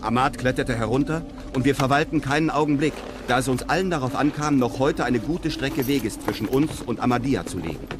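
An actor playing a European traveller who narrates his desert adventures in a measured, literary way. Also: mid-range voice, background noise, close to an everyday voice. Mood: measured storytelling. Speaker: narrator of an audio drama. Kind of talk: scripted first-person adventure narration.

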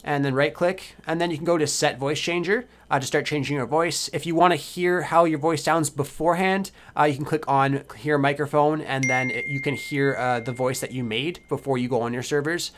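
A man hosting a software tutorial, explaining things step by step in a casual way. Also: a little high, steady tone, fairly quick, few pauses, slight background noise. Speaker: tutorial host.

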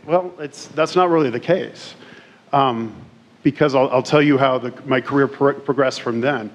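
A man's voice: mid-range voice, normal speed, rough recording. Chatty middle-aged man at a podium. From Colorado. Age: 40s. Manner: self-deprecating, chatty, many restarts. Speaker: career expo speaker. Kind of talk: casual speech to students. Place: large school auditorium stage. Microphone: podium mic on stand.

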